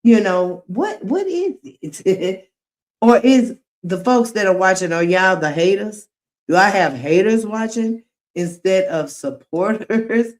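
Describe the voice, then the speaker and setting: Slow-talking woman livestreamer. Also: fairly low pitch. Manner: slow, drawn-out talk, addressing viewers directly. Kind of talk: casual livestream commentary to chat.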